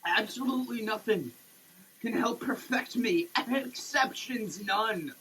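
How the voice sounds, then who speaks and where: A man giving a dramatic, preachy reading with emphatic pauses, like a sermon. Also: high-pitched, sharp tone, a little hiss or hum, poor audio. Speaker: sketch performer. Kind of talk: dramatic reading of a soap label, declaimed like a sermon. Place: shower, per the page.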